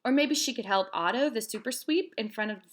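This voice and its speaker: A woman's voice: a little high, expressive, a little fast. A woman reading as a sensible old grandma. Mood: practical.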